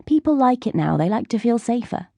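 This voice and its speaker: A woman's voice: a little high, sing-song, fairly quick, gravelly, warm tone, no echo, pitched above the performer's own voice, exaggerated voice. A woman voicing a cocky, streetwise teenage schoolgirl in an audiobook, with a breezy, bossy, know-it-all manner. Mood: offhand, knowing.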